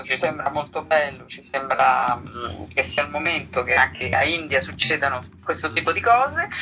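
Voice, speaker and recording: A man's voice: a little high, pushed and bright, poor audio. A man talking casually as a radio interview guest, with an informal, colloquial and playfully ironic manner. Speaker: interview guest, theatre project organizer and tutor.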